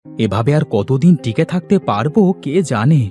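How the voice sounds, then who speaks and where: A man acting a plaintive poor villager, swooping pitch. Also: lively intonation, normal speed, mellow, faint music behind, close to an everyday voice. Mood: discouraged. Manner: lamenting, pleading. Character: poor, weary, plaintive village basket weaver and father. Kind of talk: Bengali fairy-tale cartoon voice acting.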